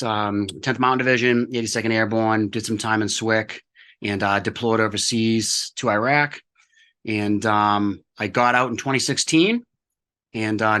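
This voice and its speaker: A man's voice: lowish voice, bright, forceful tone. A man in his forties from Massachusetts, a veteran guest speaking plainly with many fillers. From Massachusetts, near Boston. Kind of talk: casual interview chat.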